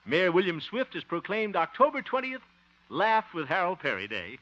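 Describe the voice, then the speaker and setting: A man's voice: high voice, huge pitch swings, sharp tone, exaggerated voice. A man playing a bumbling, good-hearted fellow in a 1950 radio sitcom, sentimental and prone to chuckling. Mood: jovial. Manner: sentimental, chuckling, drawn-out delivery. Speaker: lead comic actor and show host. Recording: muffled noisy 1950 radio broadcast.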